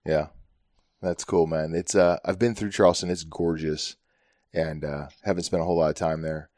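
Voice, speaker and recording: A very deep-voiced man hosts a podcast, chatting in a relaxed, friendly way and laughing easily along with his guest. The sound is dry and close. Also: moderate pace, no room sound. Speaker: podcast host. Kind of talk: casual interview chat.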